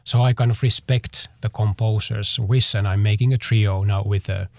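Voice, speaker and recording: A man, a choreographer answering interview questions in a halting way as he searches for words. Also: on the low side, steady tone, vocal fry, darkish tone, no echo, clean studio sound. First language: Finnish.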